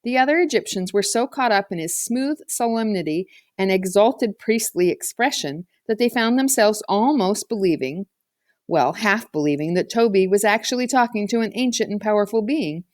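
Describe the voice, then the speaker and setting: A woman's voice: mid-range voice, animated, normal speed, no room sound, studio quality. A woman reading a children's novel aloud as a scripted narrator. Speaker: audiobook reader.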